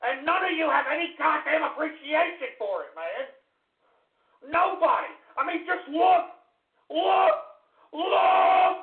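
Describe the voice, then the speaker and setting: Male talk radio host, high-strung pitch, exasperated repetitive ranting, thin phone-like sound. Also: huge pitch swings, takes it slowly. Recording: tinny phone-like, band-limited sound.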